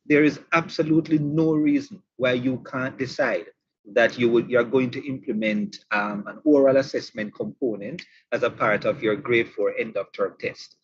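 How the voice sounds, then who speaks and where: A man's voice: mid-range voice, steady tone, moderate pace, breathy edge, lo-fi sound. A man hosting an online session for teachers. He is chatty and teasing, and he repeats his points. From Jamaica. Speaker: webinar host. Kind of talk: online professional development session.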